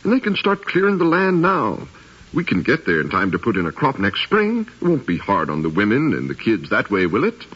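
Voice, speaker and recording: An actor playing a restless, eager planter's son in a 1950s radio drama, earnest and pleading. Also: male voice, fairly low pitch, wildly swooping pitch, fairly quick, a bit bright, slightly rough, heavily acted. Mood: urgent persuading. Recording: dull 1950s radio recording.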